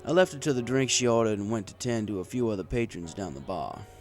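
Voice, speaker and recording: Male audiobook narrator, low pitch, animated delivery, first-person bartender storytelling over music. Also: fast. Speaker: audiobook reader.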